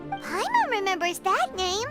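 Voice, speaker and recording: A very high, squeaky little fairy, excitable and childlike, swinging wildly in pitch. A game character with loud music playing behind. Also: female voice, unhurried, heavily acted. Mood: curious. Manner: speaks of self in third person. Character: tiny excitable childlike floating fairy companion.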